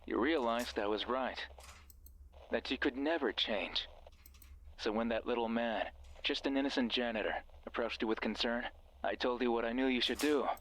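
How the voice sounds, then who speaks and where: An actor playing a sinister, manipulative mysterious voice on a radio, bitter and commanding, narrating a horror game in the second person. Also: male voice, medium pitch, normal speed, fairly bright tone, a little hiss or hum, lightly acted, rough recording. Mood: bitter, taunting.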